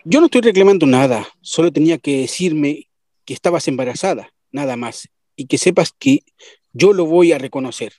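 Actor haciendo de amante despechado, voz algo ronca. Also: male voice, on the high side, expressive, moderate pace, a little mellow, no echo, studio quality. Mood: stern. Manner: earnest, melodramatic. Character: spurned, insistent lover demanding the truth. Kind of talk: radio soap opera acting.

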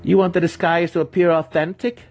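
A man voicing a sly, cruel young male dark elf apprentice, teasing and matter-of-fact about menace, in a fantasy storybook reading. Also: on the high side, level tone, a little fast, voice pushed up. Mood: coolly menacing.

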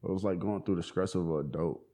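A young man in his twenties with a fairly low voice chats easily in an interview. He talks in loose slang, with plenty of fillers and restarts. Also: quick pace, dark tone. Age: 20s. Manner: laid-back slang, many fillers and restarts. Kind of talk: casual conversation.

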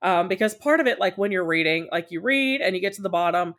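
A woman with a somewhat low-pitched voice chats casually as a guest on a podcast. She is talkative and candid, explaining things in loose, conversational runs and slipping into short quoted asides. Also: animated, fast, a bit bright. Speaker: podcast guest, podfic creator.

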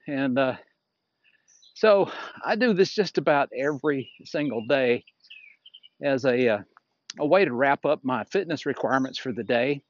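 A man in his sixties giving an unscripted, reflective talk as a fitness instructor while walking outdoors, in a halting, folksy way with frequent pauses. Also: mid-range voice, expressive, highs cut off. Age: 60s. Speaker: fitness instructor and podcast host.

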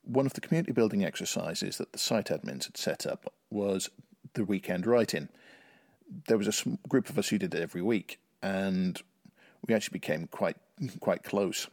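A man's voice: low-pitched, normal speed, darkish tone, no room sound, studio quality. A middle-aged man from Northern Ireland, speaking as a writer and podcast guest. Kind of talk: interview answers and reading own short story.

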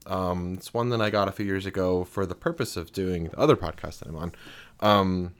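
A man with a deep voice co-hosting a home podcast, talking in a halting way with restarts, close to a desk mic with a pop filter. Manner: halting, with many restarts and fillers. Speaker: podcast co-host. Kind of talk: casual chat. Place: home room, sitting opposite his partner. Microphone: USB desk mic with pop filter.

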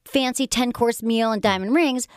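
A woman's voice: fairly high pitch, moderate pace, clear, resonant tone, dry recording, clean studio sound. A woman with a Michigan accent hosting a casual talk show, bubbly and playful. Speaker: podcast host.

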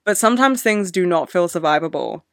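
A woman's voice: lowish voice, animated, brisk, a bit bright, dry recording, studio quality. A young woman hosting a solo podcast, talking off the cuff with emotion and plenty of swearing. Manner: emotional, swears a lot, ranting. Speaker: podcast host. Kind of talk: unscripted solo podcast monologue. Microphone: podcast microphone.

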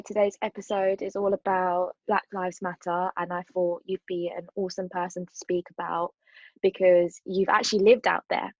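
A woman's voice: medium pitch, no echo, muffled recording. A woman hosting a podcast, asking a guest questions in a friendly, encouraging way. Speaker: podcast host. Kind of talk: casual interview questions.

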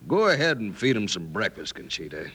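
A man's voice: fairly low pitch, big pitch swings, a little fast, fairly bright tone, husky, full character voice. A man playing a cold, calculating smuggler boss in an old radio western. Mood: cold, scheming. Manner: commanding, calculating. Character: cold, bossy male smuggler villain plotting murder. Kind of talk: 1950s radio western acting.

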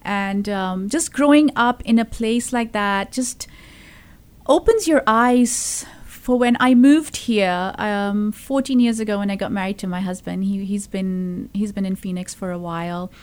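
A woman's voice: mid-range voice, unhurried, darkish tone, smooth. A woman in her thirties from Zambia, a podcast guest telling her story in casual talk, earnest, with many fillers and restarts. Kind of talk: casual conversation.

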